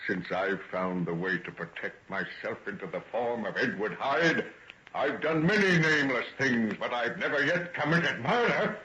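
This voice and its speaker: A gloating, murderous madman in a vintage radio melodrama. He taunts theatrically, his pitch leaping from hushed menace to wild heights. The old recording is muffled, with clear hiss and noise. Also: expressive, moderate pace. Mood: quiet menace. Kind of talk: 1940s radio drama acting.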